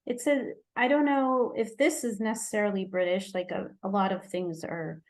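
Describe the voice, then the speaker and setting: Woman from Egypt, podcast guest, mid-pitched voice, thinks aloud, corrects herself, light laughs. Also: steady tone, moderate pace. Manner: searching, self-correcting speech with light laughter. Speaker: guest physician. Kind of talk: conversational interview.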